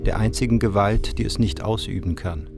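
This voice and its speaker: A man's voice: lowish voice, a little fast, gravelly. An actor reciting as a grave philosophical man, solemn and declamatory, in a radio play. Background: loud plucked guitar music.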